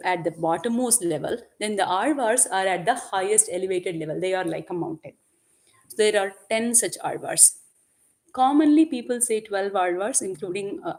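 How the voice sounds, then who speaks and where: A woman teaching a group about devotional texts, with Tamil as her first language. She explains patiently, poses questions to her listeners and answers them, and uses Tamil and Sanskrit words throughout. Her voice sits at an ordinary pitch for a woman. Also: darkish tone. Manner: mixes in Tamil and Sanskrit terms. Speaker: religious teacher.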